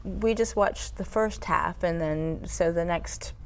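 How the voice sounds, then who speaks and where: Low-voiced woman hosting a talk show. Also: slow pace. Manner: informal, hesitant questioning. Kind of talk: casual interview.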